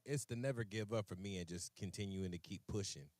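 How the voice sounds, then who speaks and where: Fast-talking low-voiced male interview host. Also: darkish tone, no room sound. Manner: informal, slangy, repeats himself. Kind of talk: casual music interview.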